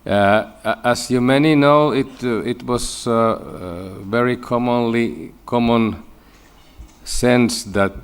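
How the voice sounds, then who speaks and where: A man with a Finnish accent giving a scientific conference lecture in halting English, often repeating words. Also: mid-range voice, smooth. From Finland. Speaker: medical researcher giving a lecture.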